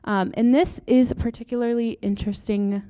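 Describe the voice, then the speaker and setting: Young female grad student presenter, soft-spoken and earnest, warm and resonant on the mic. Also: a little high, steady tone, normal speed, clean tone. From Brazil. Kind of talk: conference presentation. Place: lecture room. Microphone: handheld or podium mic.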